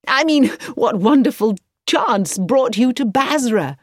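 A woman's voice: a little high, expressive, a little slow, no room sound, clean studio sound, voice pushed up. A woman voicing a cheerful, admiring young man who exclaims eagerly.

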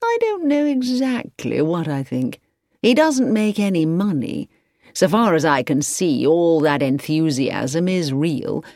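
A woman's voice: low-pitched, big pitch swings, measured pace, a little mellow, no echo, studio quality, full character voice. A woman voicing a cheerful, admiring young man who exclaims eagerly.

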